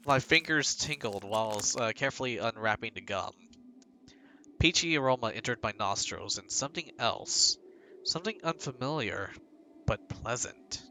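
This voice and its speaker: A man's voice: medium pitch, bright, forceful tone. A male streamer reading a game's text aloud and breaking off for joking, rambling asides.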